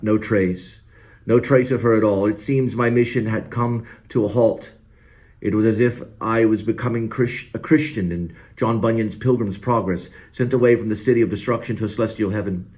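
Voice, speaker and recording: Casting: man to play a haunted ex-minister turned monster hunter, grieving father, lowish voice, solemn screenplay monologue. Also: close to an everyday voice. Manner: wordy, solemn, repetitive monologue delivery.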